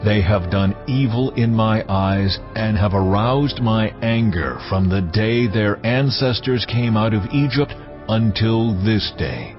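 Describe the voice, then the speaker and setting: A slow, deep, wrathful prophet. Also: male voice, lively intonation. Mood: solemn wrath. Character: stern wrathful prophet declaring God's judgment. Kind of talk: dramatised scripture reading. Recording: slightly dull audio under loud music.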